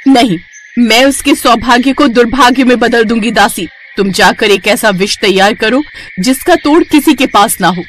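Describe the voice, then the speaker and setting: A woman's voice: on the high side, normal speed, a little hiss or hum, natural voice. A woman voicing a vain, cruel young princess in a cartoon story, haughty and commanding. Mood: furious, jealous. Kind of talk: Hindi cartoon moral story acting.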